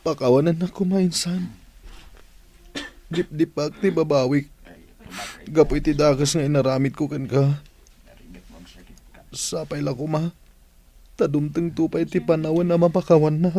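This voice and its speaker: A man's voice: on the high side, steady tone, unhurried, pauses often, warm tone. A man with an Ilocano Filipino accent acting a remorseful, pleading prisoner in a radio drama.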